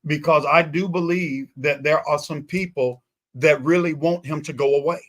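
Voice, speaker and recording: A man's voice: a little high, fairly even intonation, moderate pace, fairly bright tone. A man in a conversational political talk show discussion, speaking emphatically and repeating phrases for weight.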